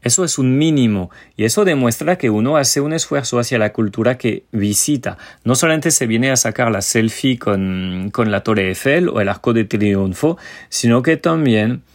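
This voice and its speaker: A man's voice: medium pitch, expressive, moderate pace. A man from France, a native French speaker with a French accent, giving informal advice straight to listeners as a language teacher and podcast host. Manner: informal, explanatory, addresses listeners directly.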